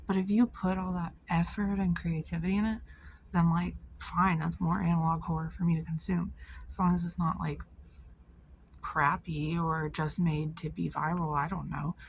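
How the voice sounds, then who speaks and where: A woman's voice: fairly low pitch, little pitch movement, normal speed, gravelly, a little hiss or hum, low-quality recording. A woman giving casual vlog commentary in an informal, slangy way, peppered with "like" and swearing. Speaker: video creator.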